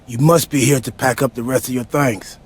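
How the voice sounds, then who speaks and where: A man's voice: mid-range voice, steady tone, brisk, husky, natural voice. A man playing a taunting pro wrestling rival in a video game cutscene.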